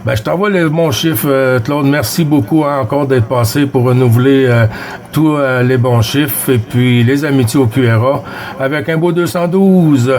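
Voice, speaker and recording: A man's voice: mid-range voice, normal speed, darkish tone. Québécois guy on the cb, middle-aged, rambling, lots of euhs. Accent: Québécois French. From Quebec. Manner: rambling, many fillers and restarts. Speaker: CB radio operator. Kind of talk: casual radio chat.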